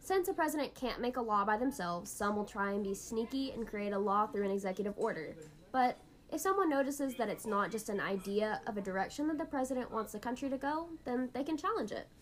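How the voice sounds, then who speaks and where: A woman's voice: on the high side, expressive, fairly quick. A woman hosting an educational podcast in a scripted, instructive explainer style. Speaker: podcast host.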